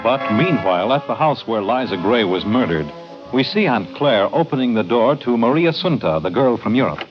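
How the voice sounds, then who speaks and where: A male old-time radio announcer reads scripted narration with animated, swinging intonation. Music plays clearly behind him, and the recording has a dull, vintage sound. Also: mid-range voice, moderate pace.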